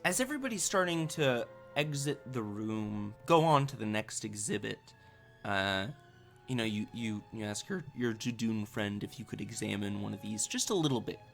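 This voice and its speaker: A man running a tabletop game, improvising narration in a halting, conversational way. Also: fairly low pitch, lively intonation, creaky, a bit bright, soft background music. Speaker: game master.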